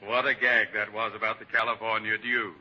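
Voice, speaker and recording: A man's voice: fairly low pitch, little pitch movement, fairly quick, bright, forceful tone. A man playing a jovial, admiring government tax official in a hearty 1940s radio comedy style. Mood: jovial admiration. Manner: hearty, chuckling. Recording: muffled, hissy old radio recording.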